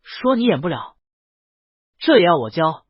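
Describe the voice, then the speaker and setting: A man's voice: fairly high pitch, big pitch swings, normal speed, breathy edge, no room sound, lo-fi sound. A man voicing an impatient, bullying talent agent in an audiobook, commanding and threatening. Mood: angry, threatening.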